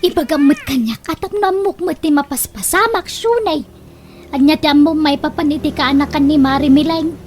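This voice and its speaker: High-voiced female actor as gossipy village aunt, Ilocano accent, comedy radio drama, faint noise behind. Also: normal speed, a little mellow, close to an everyday voice. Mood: curious, gossipy. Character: chatty, nosy village auntie in a comedy.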